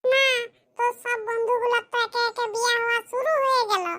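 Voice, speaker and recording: A plain-spoken, affectionate village mother who fusses over her family in a comedy cartoon. Her voice is extremely high and bright, with a pitched-up filter. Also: flat delivery, fairly quick. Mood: nagging.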